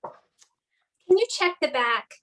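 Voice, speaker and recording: A woman's voice: high voice, expressive, drawn-out words, a bit bright, heavily acted. A woman playing an impatient, demanding female customer in an audio drama. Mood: impatient, insistent. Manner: demanding, persistent. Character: impatient, insistent female pet store customer. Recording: slightly distant from the mic.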